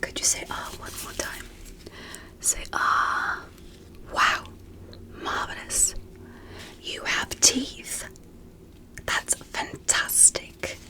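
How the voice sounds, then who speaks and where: A woman whispering softly and breathily in an ASMR medical roleplay, speaking gently and deliberately in short measured phrases as a fascinated alien doctor. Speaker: ASMR performer.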